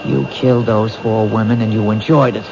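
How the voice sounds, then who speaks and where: A shrewd detective in a vintage radio drama, pressing a cornered suspect with steady goading. The old broadcast is dull and full of hiss. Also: male voice, on the low side, fairly quick, gravelly. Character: male detective, shrewd and provoking. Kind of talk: 1970s radio drama acting.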